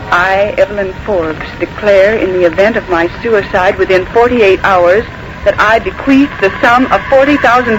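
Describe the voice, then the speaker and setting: An actress playing an earnest, determined secretary in a 1940s radio mystery, speaking with precise intensity. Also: female voice, mid-range voice, natural voice. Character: earnest, determined female secretary turned amateur sleuth. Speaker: narrator and character in a radio mystery. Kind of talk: 1940s radio drama acting. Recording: noisy old 1940s radio recording.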